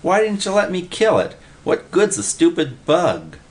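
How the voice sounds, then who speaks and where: A man's voice: mid-range voice, very animated, measured pace, a bit bright, voice pushed up. A man voicing a dim, whiny male bum who talks in uneducated slang and looks up to his friend, in a storybook reading. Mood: whining, curious. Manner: whining, uneducated slangy talk.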